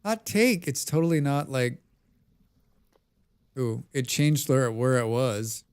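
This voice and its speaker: A man's voice: medium pitch, lively intonation, slow, darkish tone, smooth. An American man chatting casually with his viewers while playing a game on a live stream, reading and answering their comments. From United States.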